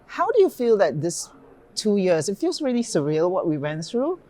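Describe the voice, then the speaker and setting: A woman's voice: medium pitch, big pitch swings, normal speed, dark tone. A woman hosting an interview in a conference studio, asking questions in an effusive, eager, chatty way. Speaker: interviewer and event founder.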